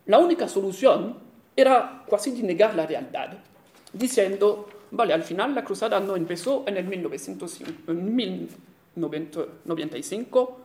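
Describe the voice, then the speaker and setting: High-voiced Italian man lecturing in Spanish. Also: expressive, a little mellow. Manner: careful, halting delivery with self-corrections and fillers. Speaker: historian giving a conference talk. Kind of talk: academic conference lecture.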